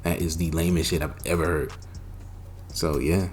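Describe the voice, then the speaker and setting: A young man casually telling a personal story, animated and profane, with loud bursts and lots of slang. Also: very deep voice, moderate pace, slight background noise. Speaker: storytime vlogger.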